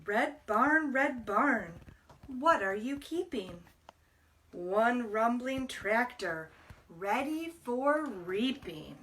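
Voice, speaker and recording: Slow-paced woman reading to children, slightly distant. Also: fairly high pitch, expressive, a bit bright, slight background noise. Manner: deliberate, explains words to young listeners. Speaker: library storytime reader. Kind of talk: children's picture book read-aloud.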